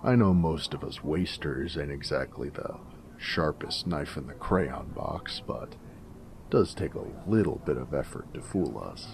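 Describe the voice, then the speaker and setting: Very deep, gravelly snarky ghoul. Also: male voice, animated, unhurried, a little hiss or hum, full character voice. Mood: wry, knowing. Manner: wry, sardonic, joking, drawling. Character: snarky, friendly male ghoul wasteland companion, weathered and irradiated. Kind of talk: character roleplay audio drama.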